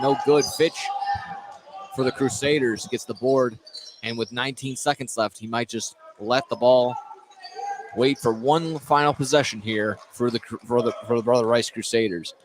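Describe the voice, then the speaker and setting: Man calling basketball over loud gym noise. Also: medium pitch. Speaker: play-by-play basketball announcer. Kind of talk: live sports radio commentary.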